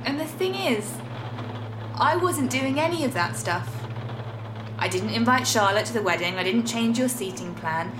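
A woman's voice: a little high, animated, normal speed, echoey room, background noise, natural voice. An actress playing a wronged, dry and frank young British woman in a naturalistic audio drama. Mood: accusing. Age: young adult.

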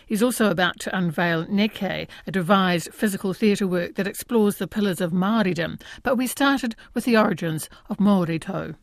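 A woman with a New Zealand accent speaks as an arts radio interviewer. Her voice sits at a middle pitch, recorded very dry with no room sound. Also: moderate pace, vocal fry, hardly any pauses, dry recording. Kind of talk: scripted introduction and conversational interview.

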